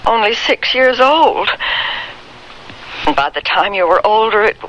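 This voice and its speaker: A woman's voice: mid-range voice, animated, unhurried, a bit bright, dull audio, a little hiss or hum. An actress playing a worried, secretive middle-aged mother in a radio drama, speaking hesitantly and emotionally. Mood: defensive. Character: worried, secretive older mother of a grown daughter.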